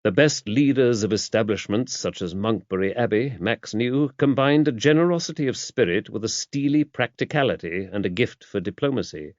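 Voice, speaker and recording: A man's voice: on the low side, normal speed, hardly any pauses, a little mellow, no room sound. Male audiobook narrator, dull muffled sound. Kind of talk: scripted narration of a mystery novel.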